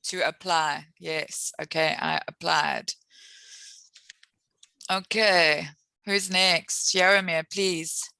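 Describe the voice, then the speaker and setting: A woman leads an online English class in a bright, clear voice. She talks at an unhurried pace and patiently walks through word meanings with examples. The sound is dry and close. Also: fairly low pitch, fairly even intonation, no echo. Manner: patient, encouraging, explains words with examples. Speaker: English teacher. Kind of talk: online English lesson with students.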